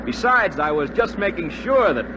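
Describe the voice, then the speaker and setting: An actor playing a brave, self-sacrificing American fighter pilot with a clipped, commanding manner in a 1940s radio drama. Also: male voice, high voice, sing-song, moderate pace. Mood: easy, modest. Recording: noisy dull 1940s radio broadcast.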